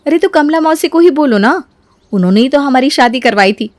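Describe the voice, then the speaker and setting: Woman acting a high, eager young bride. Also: lively intonation, a little fast, darkish tone, no room sound. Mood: eager, cheerful. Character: cheerful, dutiful young bride, sweet and eager. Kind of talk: acted dialogue in a Hindi moral story.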